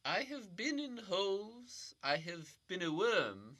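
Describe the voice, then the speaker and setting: A man's voice: high voice, big pitch swings, takes it slowly, pushed and bright, exaggerated voice. A man playing a rambling riddling old imposter. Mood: dreamy, rhyming. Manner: sing-song rhyming nonsense, dreamy. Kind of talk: comedy radio play acting.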